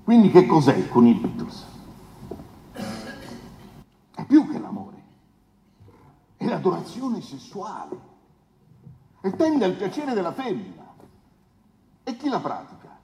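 Casting: a pompous, insinuating defence lawyer, theatrical oratory, higher-pitched male voice with dramatic swings, in a reverberant hall. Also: wildly swooping pitch, mellow, a little hiss or hum, full character voice. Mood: grandiose, emphatic. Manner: pompous, declamatory, rhetorical pauses and questions. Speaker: stage actor. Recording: echoey hall with long reverb.

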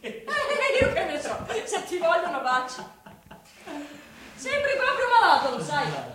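A high-pitched servant woman in a radio drama, blunt and nagging but caring. She talks brusquely and familiarly, far off in a very echoey, noisy space. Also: wildly swooping pitch, measured pace, exaggerated voice. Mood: teasing concern. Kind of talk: theatrical radio drama acting.

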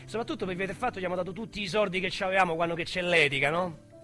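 A small-time Roman crook, rueful and confiding, fairly high and bright, for radio drama. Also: male voice, steady tone, very fast, soft background music. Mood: wistful. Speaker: narrator-protagonist.

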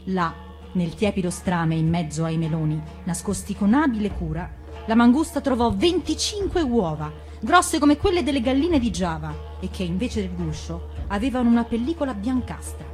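A woman's voice: mid-range voice, animated, normal speed, darkish tone. Woman reading a story aloud over music. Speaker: actress reading a fairy tale. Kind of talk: dramatized storybook narration.

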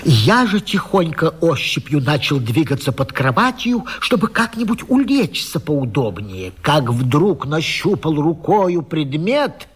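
High-pitched, flustered, pompous gentleman. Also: big pitch swings, unhurried, few pauses, slightly rough, heavily acted. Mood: anxious storytelling. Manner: pompous, agitated, indignant. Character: fussy, flustered respectable married man caught under a bed. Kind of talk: theatrical radio vaudeville acting.

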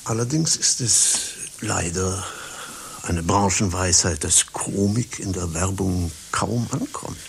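An actor playing a formal, stiffly polite company director in a satirical radio play. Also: male voice, deep voice, slow pace, gravelly, heavily acted. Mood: formal, courting. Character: formal, businesslike male company director courting a performer. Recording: hissy radio play recording.

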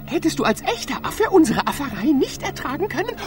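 Casting: argumentative bird inquisitor, high and animated, pointed mocking delivery for radio theatre. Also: female voice, fairly quick, over music. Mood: triumphant, lecturing. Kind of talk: radio play acting.

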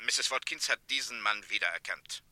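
Stern prosecutor in old crime radio play, really sharp bright voice, clipped, matter of fact. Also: male voice, medium pitch, normal speed, no room sound. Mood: cool, official. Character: stern, controlled male state prosecutor, coolly official.

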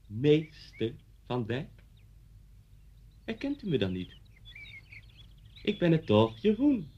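A man's voice: a little high, huge pitch swings, takes it slowly, dull audio, a little hiss or hum, heavily acted. An actor in a radio play playing a pedantic, menacing policeman, officious and insinuating in his precise way of talking. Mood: insistent, nostalgic. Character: pedantic, menacing policeman, the teacher's former pupil.

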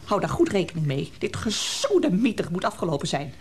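A woman's voice: lowish voice, big pitch swings, fairly quick. An actress playing a furious, demanding woman in a radio play, strident and issuing ultimatums. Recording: slightly noisy old radio play.

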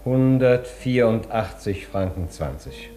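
A man's voice: lowish voice, expressive, slow, warm tone, faint music behind. A man acting a deferential, courteous hotel porter in a German radio drama.

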